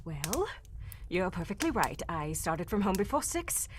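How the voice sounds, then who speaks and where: A woman playing a nervous, desperate young lady in an old-time radio play, halting and formal in her speech. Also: lowish voice, big pitch swings, a little hiss or hum. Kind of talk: cold-read live old-time radio drama acting.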